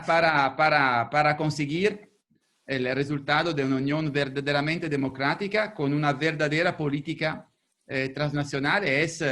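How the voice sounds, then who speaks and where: A man speaking in a webinar talk over a video call, halting and restarting as he searches for words. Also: fairly high pitch, fairly bright tone. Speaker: federalist speaker.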